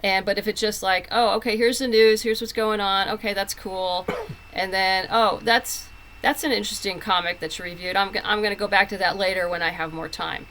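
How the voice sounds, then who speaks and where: Bright-voiced woman chatting as interview guest. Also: mid-range voice, steady tone, fairly quick, slight background noise, low-quality recording. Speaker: interview guest, comic book writer. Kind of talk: casual conversational interview.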